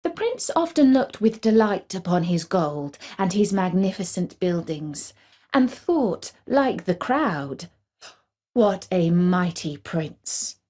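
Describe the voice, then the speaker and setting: A woman reading a scripted fairy tale for children in a measured, deliberate storybook manner. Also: mid-range voice, big pitch swings, a little mellow.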